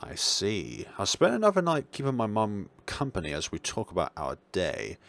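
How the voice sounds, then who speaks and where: Low-voiced man reading a visual novel, animated pitch. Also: normal speed, creaky. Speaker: gaming video maker reading a visual novel aloud. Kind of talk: let's-play read-aloud with casual side remarks. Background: game music.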